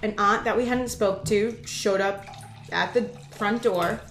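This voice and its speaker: A woman's voice: mid-range voice, fairly even intonation, a little slow, reverberant, slight background noise. A woman co-hosting a casual chat, talking candidly and bluntly, swearing at times.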